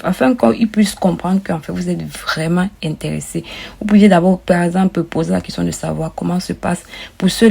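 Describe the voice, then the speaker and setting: A woman's voice: lowish voice, vocal fry, dark tone, breathy edge. A woman vlogger casually walking viewers through an application form, often repeating and rephrasing herself and calling them her friends. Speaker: immigration advice vlogger.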